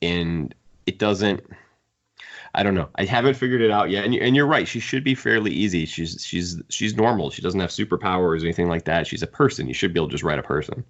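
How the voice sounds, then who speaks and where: A man's voice: deep voice, fast talker, fairly bright tone. A man co-hosting a podcast, discussing books casually in an opinionated, wry way. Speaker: podcast co-host. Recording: dull, band-limited audio.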